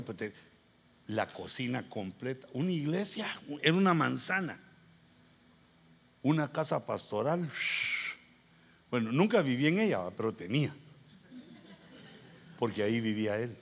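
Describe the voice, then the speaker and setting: Husky, animated Guatemalan male preacher. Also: medium pitch, normal speed, long pauses. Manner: informal, colloquial storytelling with acted-out dialogue. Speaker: pastor, preacher. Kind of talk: conversational sermon with anecdotes.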